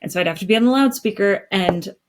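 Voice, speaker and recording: A woman from the United States co-hosting a podcast in casual chat, talking in a chatty, animated way with quick asides. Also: medium pitch, slight background noise. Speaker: podcast co-host.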